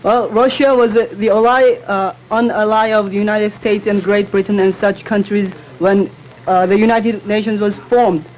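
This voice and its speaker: A man's voice: sits high, flat delivery, moderate pace, low-quality recording. A teenage boy, a student debater in a panel debate who speaks hesitantly, with many fillers and restarts. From Korea. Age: teens.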